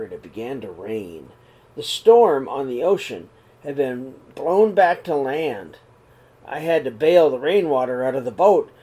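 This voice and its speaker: A middle-aged man reading a children's picture book aloud in his living room, then talking warmly to young listeners, with a gentle, unhurried pace and the odd throat clear. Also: medium pitch. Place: living room, seated on a sofa before curtains. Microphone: webcam or laptop camera at close range.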